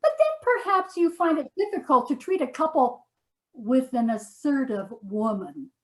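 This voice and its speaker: A woman's voice: sits high, very animated, normal speed, a little mellow. A woman playing a nagging, self-righteous wife in a comedy sketch, dramatic and exasperated, often repeating herself. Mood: offended. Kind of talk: readers theater comedy sketch.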